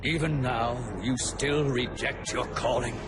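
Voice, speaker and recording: A man's voice: mid-range voice, fairly even intonation, measured pace, over music, natural voice. A man voicing an ancient, wise robot elder with a stately, ceremonial manner. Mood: grave, urgent.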